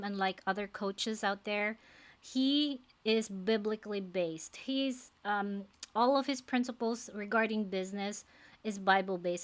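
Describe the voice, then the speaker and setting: A woman in her forties from the Philippines with a Filipino accent, English not her first language, talking earnestly to camera as a faith-based life coach, with many fillers. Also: medium pitch. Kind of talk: casual talk to camera.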